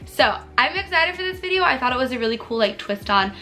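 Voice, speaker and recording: A young woman vlogger chatting bubbly and casually through a makeup tutorial, her voice a little hoarse from allergies, with lots of "like" fillers. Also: high voice, expressive, quick pace, bright, forceful tone, soft background music. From United States. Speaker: beauty vlogger.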